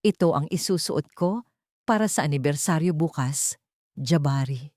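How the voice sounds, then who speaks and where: Woman voicing a soft, falsely sweet wife. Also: low-pitched, lively intonation, normal speed, no room sound, clean studio sound. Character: submissive-seeming wife hiding cold vengeful resolve.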